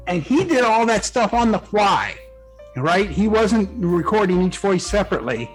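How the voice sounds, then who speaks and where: A man with a notably high voice chats as a guest author. His talk is casual and colloquial, full of little check-ins with the listener, over background music. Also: sing-song, moderate pace, low-quality recording. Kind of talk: casual conversational chat.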